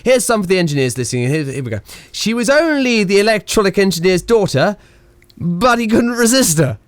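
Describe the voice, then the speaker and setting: A man's voice: high voice, big pitch swings, a little fast, no echo. Guy doing old time radio host, cheeky n rambly, stammers a bit, lightish voice, very dry sound. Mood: jokey. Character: jokey, rambling, self-mocking 1920s radio station announcer. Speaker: radio announcer. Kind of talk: radio play, improvised-sounding comic broadcast patter.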